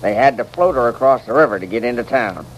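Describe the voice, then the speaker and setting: An actor playing a plain-spoken, easily amused saloon keeper with folksy western talk in an old radio drama. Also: male voice, mid-range voice, lively intonation, fast talker, lightly acted. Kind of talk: 1940s-50s radio western acting. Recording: noisy old radio recording.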